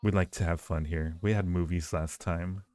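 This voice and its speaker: A man's voice: low-pitched, steady tone, normal speed, a little mellow. A man hosting a livestream, chatting casually with viewers in a playful, flirty way and often repeating his phrases. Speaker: livestream host. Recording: roomy echo, faint music behind.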